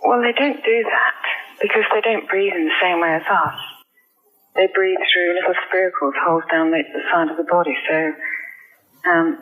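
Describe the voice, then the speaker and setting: A woman interviewed as an expert over the phone, explaining in a precise, matter-of-fact way and gently correcting. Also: lowish voice, moderate pace, narrow, tinny audio. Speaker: museum insect expert.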